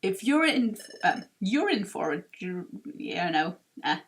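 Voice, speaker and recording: Woman doing a halting, shaken guild veteran creature. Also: mid-range voice, big pitch swings, voice pushed down. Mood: uneasy, dread. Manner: halting, grave.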